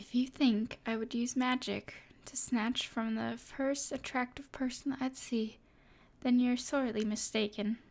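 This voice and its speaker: A woman playing a smug, teasing evil sorceress in an intimate ASMR roleplay, with a breathy edge and a haughty, mysterious manner. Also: on the high side, fairly even intonation, slow pace, a little mellow.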